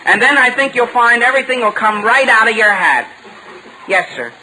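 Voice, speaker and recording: An eager teenage son in a vintage radio comedy. His voice is high and bright, swinging up and down as he earnestly protests. The old recording is faintly noisy. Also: animated, moderate pace, heavily acted. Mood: eager, helpful. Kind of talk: 1940s radio comedy acting.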